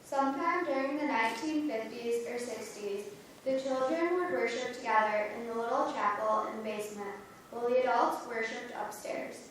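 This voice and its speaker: A woman's voice: on the high side, normal speed, a little hiss or hum. A woman performing reader's theater, steadily reading scripted church history in a church. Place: church sanctuary. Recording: echoey church from far off.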